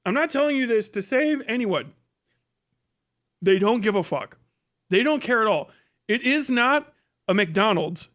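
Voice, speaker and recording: A man's voice: high voice, fairly bright tone. A man giving casual livestream commentary in a blunt, profane, rambling way. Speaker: livestream host.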